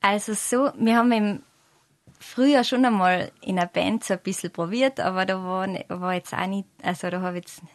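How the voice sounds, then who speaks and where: A middle-aged woman with a Tyrolean accent talks casually as an interview guest. She feels her way through sentences at a medium pitch, in a dry, close recording. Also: fairly even intonation, normal speed, dry recording. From Tyrol, Austria. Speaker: singer-songwriter guest.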